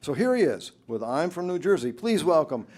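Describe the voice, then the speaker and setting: A man hosting a radio show, introducing a live concert from a club stage as an upbeat emcee who pauses between phrases. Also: a little high, big pitch swings, heavy background noise.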